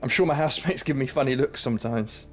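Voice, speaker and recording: A man's voice: mid-range voice, fast talker, faint music behind, close to an everyday voice. Guy playing a nervous young musician with schizophrenia, stammers and restarts, radio play. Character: young man with schizophrenia, anxious, hopeful musician. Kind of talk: radio drama acting.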